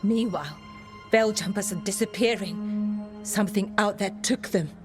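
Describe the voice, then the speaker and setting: A woman's voice: medium pitch, normal speed, over loud music, close to an everyday voice. An actress playing a serious, concerned woman who leads forest scouts in a fantasy game. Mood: urgent concern. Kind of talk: game dialogue acting.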